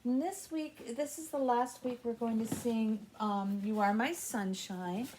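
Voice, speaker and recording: A middle-aged woman with a fairly high voice guides parents through a baby story time. She speaks slowly, in a sing-song, encouraging way, giving simple instructions as a children's librarian would. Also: darkish tone, slight background noise, rough recording. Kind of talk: instructional talk to parents with songs and rhymes. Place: small indoor room with children's alphabet backdrop.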